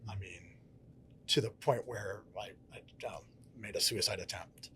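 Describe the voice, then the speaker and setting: A man's voice: on the low side, a little slow, a bit bright, a little hiss or hum. A man from Connecticut with a Connecticut accent, a pastor telling his life story in an earnest, reflective way during a casual interview.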